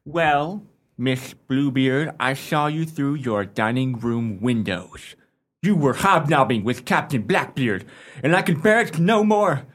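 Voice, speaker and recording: Radio play: timid, lovelorn young suitor, medium pitch, overwrought and self-pitying. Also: male voice, lively intonation, slow, a bit bright. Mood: wounded, lovesick. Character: melodramatic, cowardly, lovesick young gentleman suitor.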